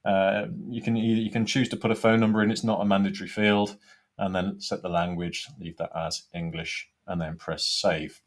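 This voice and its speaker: Low-voiced man demonstrating software. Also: flat delivery, moderate pace. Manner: informal, explanatory, step-by-step. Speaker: product demonstrator. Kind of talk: software tutorial walkthrough.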